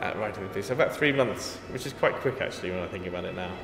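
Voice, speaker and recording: A man with an English accent answers questions about his music, thoughtful and a little self-deprecating. His voice sits low. The sound bounces around a large reverberant hall, with music playing behind him. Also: fast talker, vocal fry. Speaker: composer. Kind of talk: casual interview. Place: echoey gallery hall.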